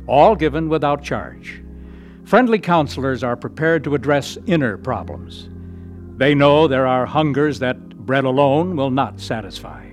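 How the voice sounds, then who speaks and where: A man announces a radio drama in a measured, formal way. His voice sits at an ordinary pitch. Soft music runs faintly beneath him.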